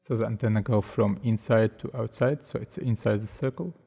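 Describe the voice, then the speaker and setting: Man, low gravelly voice, halting think-aloud tutorial talk, faint music under. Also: steady tone. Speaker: tutorial host. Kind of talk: casual screen-recorded modeling tutorial. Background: soft background music.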